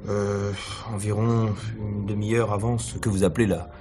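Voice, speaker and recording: An actor playing a cool, guarded stage actor who is politely answering questions in a radio mystery play. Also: male voice, on the low side, flat delivery, moderate pace, over music, natural voice. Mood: cooperative, guarded. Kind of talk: French radio mystery play acting in 1950s manner.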